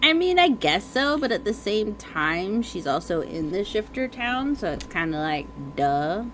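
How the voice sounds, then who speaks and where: A woman's voice: medium pitch, big pitch swings, unhurried, fairly bright tone. Wry woman with swooping intonation, noisy background. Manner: wry, teasing. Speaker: podcast co-host. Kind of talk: casual chat, interjecting banter.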